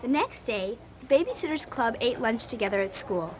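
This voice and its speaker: An actress playing a bossy, exasperated young girl who narrates and acts in a scripted audio drama, expressive and emphatic. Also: a little high, normal speed, background noise, lightly acted. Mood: wry narrating. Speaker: narrator and lead.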